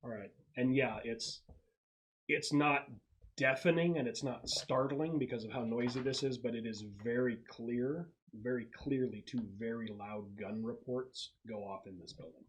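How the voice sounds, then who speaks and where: A man's voice: mid-range voice, steady tone, normal speed, a little hiss or hum, poor audio. A man speaking as game master, explaining rules and narrating the action in a conversational way.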